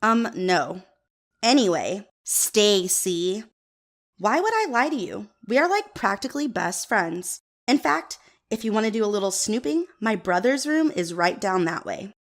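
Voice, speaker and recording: A woman's voice: medium pitch, big pitch swings, a little slow. An actress playing a snobby, pretentious, airheaded young woman with a condescending, affected manner in an audio drama. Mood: breezy, self-important. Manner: condescending, affected, valley-girl filler words.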